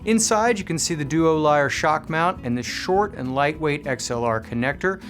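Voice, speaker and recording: A man with a slightly high voice narrates a scripted gear review. He enunciates clearly and explains each point like a presenter, over a bed of music with guitar. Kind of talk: scripted product review narration with live demo commentary.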